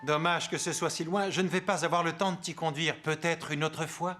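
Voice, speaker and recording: Man playing a gentle cartoon guardian, slightly high voice, music under. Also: steady tone, fairly quick, natural voice. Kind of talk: cartoon dub acting.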